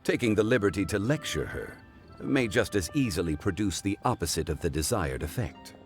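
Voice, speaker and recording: A man playing a dignified, wise gentleman consultant in a video game, speaking formally and with measured courtesy. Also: low-pitched, sing-song. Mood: grave, resigned. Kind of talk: video game cutscene acting. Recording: background music.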